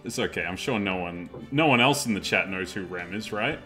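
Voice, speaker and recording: Male stream host, low voice, casual and dryly sarcastic, game music underneath. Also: lively intonation, a little fast, sharp tone. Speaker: host of a group live dub stream.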